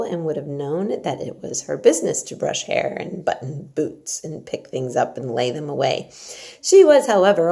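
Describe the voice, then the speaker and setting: A woman narrates a storybook aloud. Her voice sits at a middle pitch, soft-edged and mellow, with a noticeable creak as she reads the scripted prose. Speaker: story reader.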